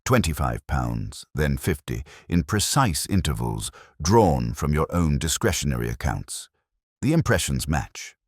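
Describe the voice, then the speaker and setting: A man voicing a shrewd, calmly relentless detective in an audiobook mystery, speaking in formal, measured, incisive phrases. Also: a bass voice, husky, no echo, studio quality, heavily acted. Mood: triumphant.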